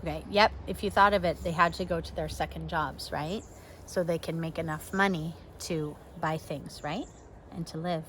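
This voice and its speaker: A woman teacher reading a storybook to pupils, expressive, with casual asides and questions to the young listeners. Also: sits low, normal speed, slight background noise. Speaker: elementary school teacher.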